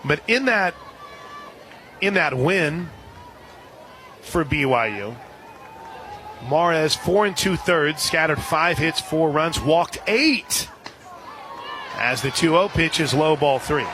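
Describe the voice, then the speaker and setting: Male radio announcer, higher-pitched voice, live softball play-by-play, outdoor ballpark, noisy crowd behind. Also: animated, slow, pauses often. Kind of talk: live play-by-play sports commentary. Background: ballpark crowd and ambient noise.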